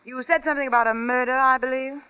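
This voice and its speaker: An actress playing a haughty, brusque society sister-in-law in an old radio drama, cool, sarcastic and imperious. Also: female voice, high-pitched, fairly even intonation, quick pace, clean tone, lightly acted. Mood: cool, dismissive. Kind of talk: 1940s-50s radio drama acting. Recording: dull vintage radio recording.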